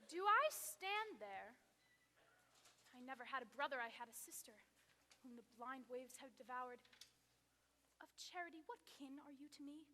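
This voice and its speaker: An earnest young gentleman, played by a woman speaks Shakespearean verse with tenderness. The voice is high and bright, carried in an echoing theatre with faint noise. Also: lively intonation, normal speed, long pauses. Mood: wondering, tender. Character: earnest, tender young gentleman, long-lost twin brother. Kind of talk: Shakespearean stage acting. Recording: echoey hall, faint noise, live stage.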